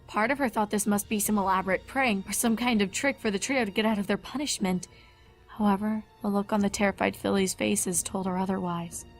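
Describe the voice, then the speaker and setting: A woman's voice: medium pitch, steady tone, brisk, faint music behind. A woman reading fan fiction aloud as scripted story narration.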